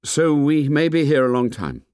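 A man's voice: fairly high pitch, lively intonation, normal speed, darkish tone, clear, resonant tone, no room sound, studio quality, voice pushed up, lightly acted. A man voicing an earnest, commanding schoolboy leader in an audiobook, speaking in short emphatic phrases. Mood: grave. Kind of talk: audiobook character voice.

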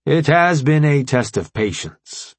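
A man voicing a formal, logical artificial intelligence robot leader in an audiobook, explaining things in precise, measured language. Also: on the high side, big pitch swings, dry recording, voice pushed up, heavily acted. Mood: measured, resigned. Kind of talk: audiobook character dialogue.

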